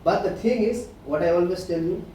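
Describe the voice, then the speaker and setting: A man's voice: sits high, fairly quick, warm tone. A man, co-hosting a podcast in casual chat, earnest and lecturing, full of "uh" and "you know". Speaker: podcast co-host. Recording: echoey room, far from the mic, faint hiss.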